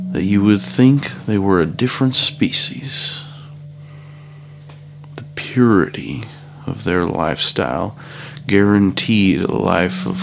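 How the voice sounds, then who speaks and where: Man playing a mysterious old stranger, very deep voice, gravelly, slow whispery delivery, storybook. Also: noisy background, exaggerated voice. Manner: slow, hushed, drawn-out speech, dropping to a whisper.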